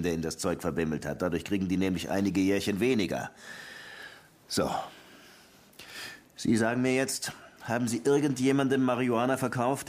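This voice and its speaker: An actor playing a sardonic, insistent police lieutenant interrogating suspects in a radio crime drama. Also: male voice, lowish voice, a little mellow, lightly acted. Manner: dry, insistent, pressing.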